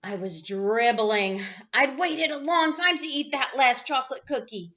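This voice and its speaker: Female storyteller for young children, high bright voice, dramatic delivery, slightly dull lo-fi recording. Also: expressive, measured pace. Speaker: teacher reading a picture book aloud. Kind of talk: animated children's read-aloud.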